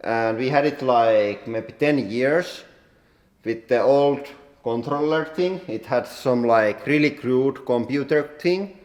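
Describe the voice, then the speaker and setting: A man with a Finnish accent hosting a casual tour of his workshop, talking in plain, halting English with many fillers. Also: medium pitch, a little mellow. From Finland. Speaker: workshop owner and channel host. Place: large industrial workshop hall. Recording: roomy echo with long hall reverb.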